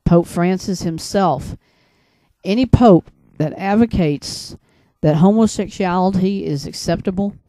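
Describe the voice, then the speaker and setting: An American man speaks as a broadcaster delivering solo commentary. His voice is mellow and rather dark, recorded dry with very little room sound. He is earnest and admonishing, moving haltingly and circling back to repeat phrases for emphasis. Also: high-pitched, no echo. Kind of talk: religious and political commentary monologue.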